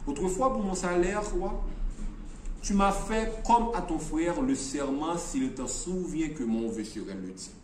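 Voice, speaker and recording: A man voicing a wise, demanding storybook mage in a declamatory, deliberate manner. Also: on the high side, expressive. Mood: insistent demand. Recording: echoey room, distant mic, faint noise.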